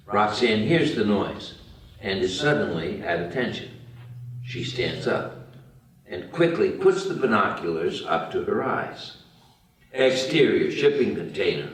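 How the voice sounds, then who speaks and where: A man narrating the stage directions of a screenplay at a table read. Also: on the low side. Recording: roomy, distant mic.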